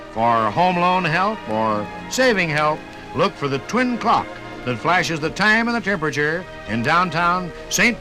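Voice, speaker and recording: An American man doing scripted 1950s radio announcing, with folksy, measured phrasing. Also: a little high, rough recording. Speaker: radio narrator and sponsor announcer. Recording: 1958 radio program from LP record. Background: music.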